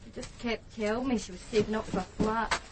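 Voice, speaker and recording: A woman's voice: medium pitch, steady tone, fairly quick, background noise, close to an everyday voice. An actress playing a naive, defensive young Glasgow woman in a naturalistic radio drama, speaking colloquially. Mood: wistful. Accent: Scottish, Glasgow.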